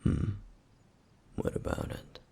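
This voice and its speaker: A man playing a brooding, protective flame demon in an ASMR roleplay, speaking in a grave, breathy, gravelly near-whisper with deliberate pauses. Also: mellow, a little hiss or hum, natural voice. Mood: wistful, subdued. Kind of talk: ASMR roleplay, intimate scripted monologue.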